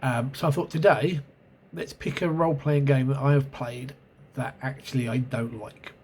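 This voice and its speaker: A man's voice: mid-range voice, fairly even intonation, normal speed, darkish tone. A man with a stuffy, sore-throated voice talks through his memories of an old tabletop game, hesitant and full of ums and self-corrections. His voice sits in a middle range for a man. A faint hiss of background noise hangs under the recording. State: congested with a cold and sore throat. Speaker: channel host reviewing role-playing games. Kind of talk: casual spoken video review. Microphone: camera mic.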